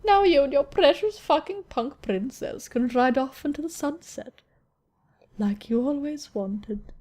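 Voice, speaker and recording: A woman's voice: fairly high pitch, warm tone. Woman voicing bitter, taunting inner voice.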